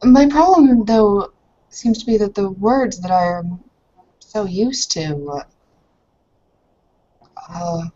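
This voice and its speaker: A woman's voice: mid-range voice, slow pace, pauses often, warm tone, dull audio. A woman voicing an earnest young foreign woman in a roleplaying game, careful and proper, searching for her words. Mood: explaining, halting. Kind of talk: improvised in-character tabletop roleplay.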